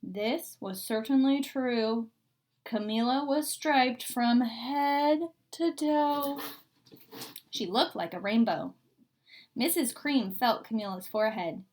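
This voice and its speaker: A woman with a fairly high voice reads a picture book to children. Her delivery is expressive, and she stretches and stresses key words as a teacher would. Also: takes it slowly. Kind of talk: expressive children's read-aloud.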